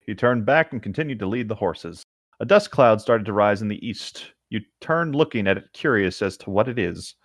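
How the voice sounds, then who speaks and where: A man's voice: lowish voice, lively intonation, moderate pace, no echo, clean studio sound. A man co-hosting a read-along, narrating fan fiction and commenting on it in a playful, casual way. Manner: amused, playful. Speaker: co-host of a fan fiction reading show.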